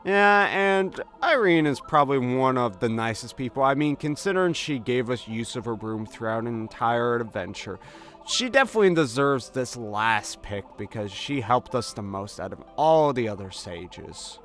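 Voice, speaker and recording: Male let's play host, medium pitch, chatty and informal commentary with game music underneath. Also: expressive, normal speed, fairly bright tone. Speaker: host of a game playthrough series. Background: video game music.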